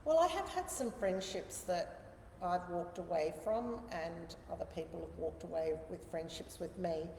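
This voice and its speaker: A woman's voice: on the low side, expressive, moderate pace, dark tone. Australian woman in a very echoey room. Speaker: interview guest. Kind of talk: casual conversational interview. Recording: echoey reverberant room with background noise.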